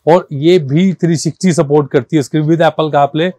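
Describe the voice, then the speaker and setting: A man gives a casual, persuasive sales pitch as a shop seller, often repeating himself and mixing languages informally. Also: fairly even intonation, moderate pace, warm tone, no echo. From India. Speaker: car accessories shop seller and presenter.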